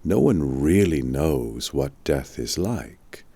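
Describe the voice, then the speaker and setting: Guy reading a calm old wizard teacher in an audiobook, super deep voice, measured and patient. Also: vocal fry, slightly rough, dry recording, exaggerated voice. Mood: gentle, instructive. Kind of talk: audiobook storytelling.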